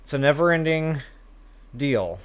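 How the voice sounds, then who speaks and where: A man's voice: a little high, expressive, unhurried. A man hosting a game livestream, chatting casually with viewers in a self-deprecating way. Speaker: live stream host, gamer.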